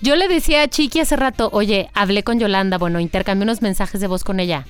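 A woman co-hosting a podcast, speaking in a warm, friendly way. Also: mid-range voice, fast talker, clear, resonant tone, faint music behind. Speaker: podcast co-host. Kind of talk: casual chat.

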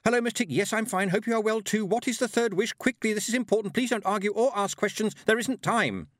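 A man's voice: a little high, huge pitch swings, unhurried, breathy edge, no echo, clean studio sound, voice pushed up, heavily acted. A man voicing a determined young witch girl in a storybook, speaking in an earnest, insistent way. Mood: hurried insistence. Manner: insistent, emphatic.

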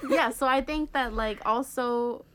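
A woman's voice: on the high side, a little slow, a bit bright, a little hiss or hum. A young woman, a guest on a student podcast, chatting casually with lots of "like" and "um" and an easy laugh. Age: young adult. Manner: casual, heavy use of "like" and "um", laughs easily. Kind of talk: casual panel discussion.

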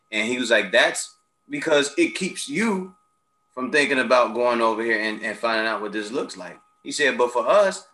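A man in casual conversation, telling stories animatedly and acting out the people he quotes. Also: mid-range voice. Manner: animated storyteller, acts out quoted friends. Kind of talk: casual chat, storytelling. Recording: echoey room.

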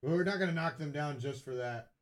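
A man's voice: fairly high pitch, brisk, dry recording. Casual man co-hosting, in a dry room. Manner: informal, joking. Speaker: podcast co-host. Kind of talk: casual gaming chat, ranking voice lines.

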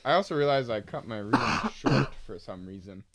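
A man co-hosting a faith podcast, calmly chatting and reading passages aloud. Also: medium pitch, brisk. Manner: calm, reads passages aloud steadily. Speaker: podcast co-host. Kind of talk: casual chat and scripture reading.

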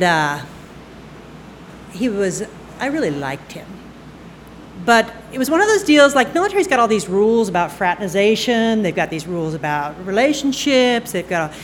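A woman in her sixties telling a personal story on stage into a microphone, conversational and halting, with fillers and bursts of laughter. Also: medium pitch, very animated, slight background noise. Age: 60s. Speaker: storyteller at a live storytelling night. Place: stage at a live event.

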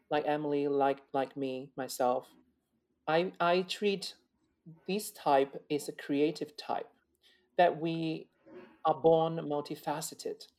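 A man, a guest opera singer talking earnestly and reflectively in an interview, often restarting and repeating words. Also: a little mellow. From China. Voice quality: high male voice. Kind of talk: interview conversation.

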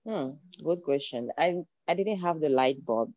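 A woman's voice: low-pitched, animated, measured pace, mellow, no room sound. A young woman from Cameroon with a Cameroonian accent, speaking as a podcast guest, earnest and reflective, building her points through repeated phrases. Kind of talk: podcast interview.

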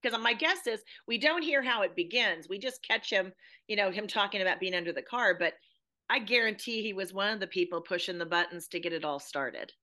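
A woman from the United States, an expressive podcast guest discussing drama conversationally and with emphasis. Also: mid-range voice, brisk.

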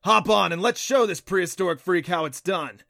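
A man's voice: on the high side, lively intonation, a little fast, creaky, bright, forceful tone, no echo, studio quality, full character voice. A man voicing a proud, impatient magical horned beast, explaining things emphatically in an audiobook. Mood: rousing. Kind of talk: audiobook character voice.

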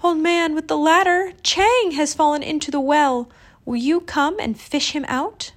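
A woman's voice: high voice, measured pace, pitched above the performer's own voice. A woman voicing a small, breathless, frantic boy in a children's story, calling out and pleading. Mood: urgent. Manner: shouting, gasping, pleading.

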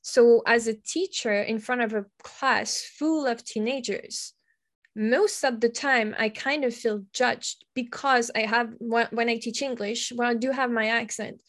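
Woman, higher voice, thinking out loud, restarts a lot. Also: fairly even intonation, unhurried. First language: French. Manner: reflective, searching for words. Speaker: secondary school teacher, guest. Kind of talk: conversational podcast interview.

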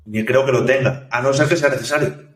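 A man, a software developer, talking casually in an interview, thoughtful and hesitant, with many restarts. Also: medium pitch, steady tone, a little fast. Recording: echoey room, distant from mic.

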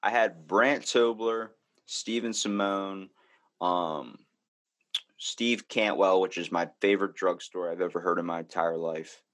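A man with a Baltimore accent chatting casually on a podcast, telling animated stories and acting out quotes. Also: low-pitched, unhurried. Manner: animated storyteller, acts out quotes.

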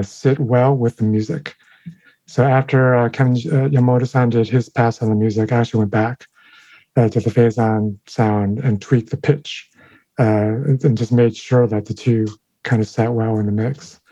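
A man, a sound designer whose first language is Chinese, answering interview questions in a thoughtful, halting way with many fillers and restarts. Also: medium pitch, dark tone, dry recording, lo-fi sound. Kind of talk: interview conversation.

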